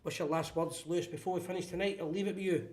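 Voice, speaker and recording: Brief: Scottish male host, blunt, fast-talking, slightly high voice. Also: fairly even intonation, darkish tone. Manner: blunt, opinionated, quick-talking. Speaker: host of a fan phone-in show. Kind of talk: live phone-in chat.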